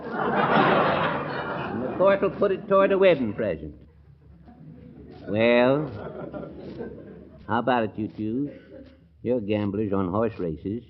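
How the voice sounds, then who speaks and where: An actor playing a crusty, excitable small-town judge in a 1940s radio comedy, folksy and blustering, tripping over his words. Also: medium pitch, slow pace, long pauses, noisy background. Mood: gleeful, sly. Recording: dull lo-fi 1940s radio recording.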